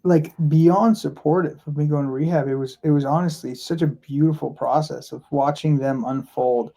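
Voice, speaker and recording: A podcast guest from Florida tells his story casually, in a rambling way full of fillers and restarts. His man's voice sits a touch high, with a mellow, warm tone. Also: steady tone, moderate pace, gravelly.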